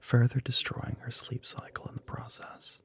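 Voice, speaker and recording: A man playing a smug, teasing, telepathic young male classmate in an ASMR roleplay, with a husky, breathy, often whispered voice. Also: a bit bright, dry recording, natural voice. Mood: knowing, teasing. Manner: smug, teasing, drawn-out knowing remarks.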